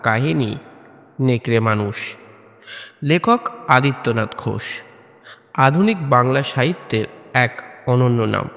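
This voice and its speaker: A man's voice: medium pitch, vocal fry. A man presents a scripted introduction to a horror audio story. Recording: long hall-like reverb.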